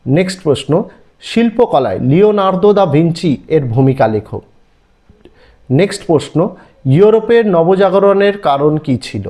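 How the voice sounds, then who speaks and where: A man with an Indian Bengali accent teaches online, reading questions out one after another. His voice sits fairly high and swings widely in pitch, with a soft, mellow tone. He sounds instructional and emphatic, with a repetitive list-like rhythm. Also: animated, moderate pace. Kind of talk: exam-prep lesson, reading out listed questions.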